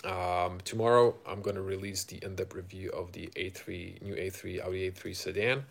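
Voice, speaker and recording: A man from Croatia with a Croatian accent, casually chatting to viewers as a car reviewer on a live stream, halting and thinking aloud. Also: deep voice, steady tone, vocal fry. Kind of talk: casual unscripted chat with viewers.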